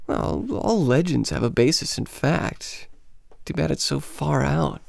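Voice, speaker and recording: A man's voice: mid-range voice, a little slow, gravelly, a little mellow, no echo. An actor playing an adventurous, teasing American man on holiday in a radio play, with bantering, cocksure delivery. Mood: breezy, teasing.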